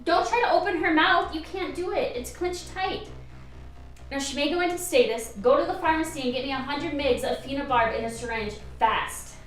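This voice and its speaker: A woman playing a brisk, commanding doctor handling an emergency in an amateur radio play. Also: sits high, soft background music, lightly acted. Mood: urgent, instructing. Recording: roomy, distant mic, faint noise.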